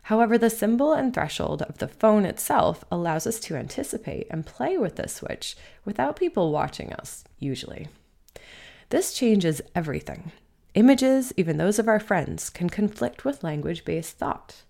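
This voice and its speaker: A woman with a notably low voice and a good deal of vocal fry narrates a written essay. The delivery is measured and bookish, as an author reading their own literary piece for listeners. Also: a little mellow.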